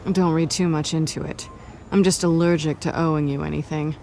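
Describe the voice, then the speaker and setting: A woman's voice: deep voice, steady tone, brisk, mellow, slight background noise. A woman playing a cool, self-reliant antiheroine with a sardonic, nonchalant manner in a cinematic video game. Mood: wry, teasing.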